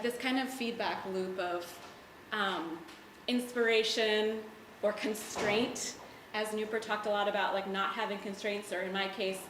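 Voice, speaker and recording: A woman's voice: medium pitch, background noise. Chatty woman in an echoey gallery. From United States. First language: Hebrew. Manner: chatty, lots of "like" and "um" fillers. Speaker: artist giving a gallery talk. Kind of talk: casual presentation to an audience. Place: art gallery, indoors.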